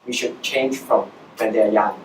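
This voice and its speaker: A man's voice: lowish voice, fairly even intonation, moderate pace. A young man with a Hong Kong accent giving an explanatory presentation to students as a social entrepreneur. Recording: echoey room, distant mic, background noise.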